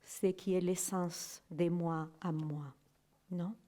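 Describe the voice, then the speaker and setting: A woman speaks slowly and warmly, pausing often as she looks for her words in non-native French. Her voice sits a little low and mellow, and she keeps turning to her listeners with a questioning "non?" as she tells her story. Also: fairly even intonation. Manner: halting, searching for words, asks "non?" often. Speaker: guest speaker giving a testimony. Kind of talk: slow reflective personal testimony.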